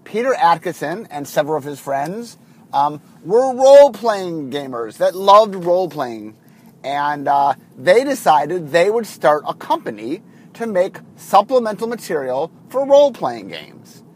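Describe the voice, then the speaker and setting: A man with a husky voice from a cold, casually telling a long story on his podcast, full of ums and restarts. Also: high voice, big pitch swings, a little slow. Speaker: podcast host. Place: car, recording on the drive to work.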